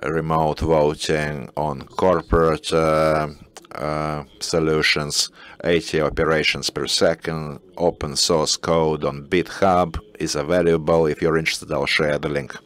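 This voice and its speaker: A man giving simultaneous interpretation at a panel, hesitant, with filled pauses and restarts. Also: rumbling low, level tone, fairly bright tone. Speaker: conference interpreter.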